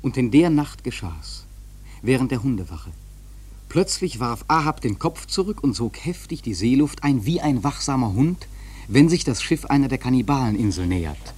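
An actor playing a seasoned whaling sailor who narrates a literary radio drama in a husky, vivid, dramatic way. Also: male voice, mid-range voice, big pitch swings, moderate pace, darkish tone. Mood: tense, ominous. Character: seasoned whaling sailor recounting the fateful hunt.

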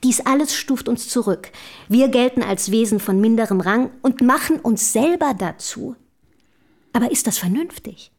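An actress in a German historical radio drama playing an earnest, outspoken early feminist from the city, speaking formally and rhetorically. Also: female voice, on the high side, expressive, normal speed. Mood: fervent, admiring.

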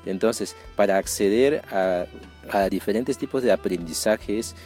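Deep-voiced man from Peru. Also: darkish tone, faint music behind. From Amazon region of northern Peru. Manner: halting, searching for words. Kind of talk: spoken testimony, explanatory.

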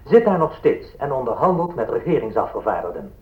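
An actor playing a formal news reporter in a radio drama, reading bulletins emphatically. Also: male voice, medium pitch, big pitch swings, a little fast, roomy sound, phone-quality sound, lightly acted. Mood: formal, urgent.

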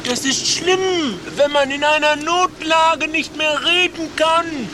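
An actor playing a fussy, pessimistic patient, dour and deliberate, in a satirical radio play. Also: male voice, extremely high pitch, background noise. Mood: despairing. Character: gloomy, fussy male stamp-collecting patient, pessimistic.

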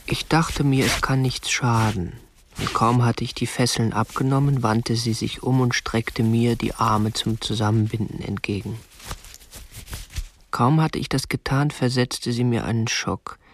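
A man's voice: mid-range voice, steady tone, unhurried, a little hiss or hum, natural voice. An actor in a radio drama playing a prim, obsessive young man who keeps a woman captive, speaking in a stiff, controlled way. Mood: matter-of-fact, controlled. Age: 20s. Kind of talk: radio drama narration and dialogue.

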